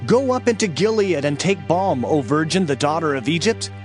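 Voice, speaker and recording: A man's voice: a little high, expressive, moderate pace, natural voice. A man playing a stern prophet proclaiming God's wrathful judgment in a solemn, declamatory dramatized Bible reading. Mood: fierce, commanding. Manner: solemn, declamatory, archaic oratory. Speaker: dramatized scripture reader. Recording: background music under the voice.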